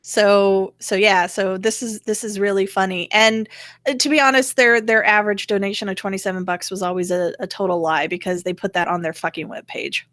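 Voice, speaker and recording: A woman with a bright, forward voice talks frankly in casual, opinionated chat as a show co-host. The sound is dry and close, with very little room around it. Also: medium pitch, fairly even intonation, brisk, no room sound. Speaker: co-host of a political rant show.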